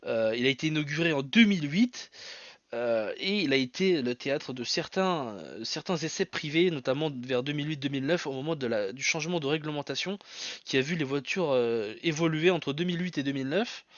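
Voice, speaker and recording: A man's voice: on the high side, expressive, fairly quick, fairly bright tone, dry recording, muffled recording. A man hosting his own podcast alone, with a Portuguese mother tongue, talking in a hesitant way full of fillers and restarts. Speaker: podcast host. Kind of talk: solo podcast talk.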